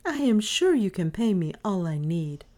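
A woman voicing a calm, hopeful young girl from the mountains in a storybook reading. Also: mid-range voice, moderate pace, natural voice. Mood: earnest. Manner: plain, earnest, composed.

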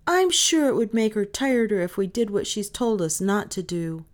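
A woman's voice: medium pitch, sing-song, moderate pace, voice pushed up, close to an everyday voice. A woman voicing a careful, serious young boy, a protective older brother in a storybook. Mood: cautious, firm. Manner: earnest, serious.

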